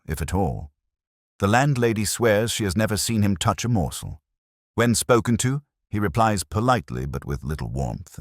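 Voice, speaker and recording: A man's voice: lowish voice, no echo, studio quality, voice pushed up. A man voicing a nervous, earnest lodger who speaks respectfully and uneasily in an audiobook story. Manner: hushed, urgent, respectful. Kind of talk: audiobook detective story dialogue.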